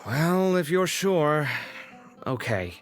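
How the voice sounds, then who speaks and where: An actor playing an expressive young man in a video game, a caring and slightly anxious friend. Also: on the high side, takes it slowly, faint music behind. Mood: worried. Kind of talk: video game dialogue acting.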